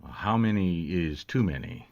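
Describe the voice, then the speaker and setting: An actor playing a polite, laconic private investigator in a radio detective drama, asking hesitant questions. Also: male voice, sits low, animated, measured pace, gravelly, dry recording, highs cut off, full character voice. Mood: dry, probing. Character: hard-boiled but polite male private investigator.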